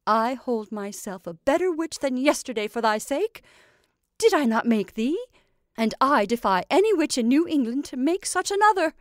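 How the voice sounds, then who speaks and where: A woman doing a high, sharp-voiced old witch. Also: very animated, normal speed, dry recording, clean studio sound. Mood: gleeful. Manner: fond and coaxing, archaic speech. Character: cackling old witch mother, doting, sharp-tongued.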